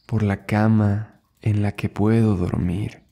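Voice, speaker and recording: A man leading a guided meditation as an instructor, soothing and unhurried, speaking in short phrases with long pauses between them. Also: on the low side, little pitch movement, gravelly, mellow. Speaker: yoga and meditation instructor, host.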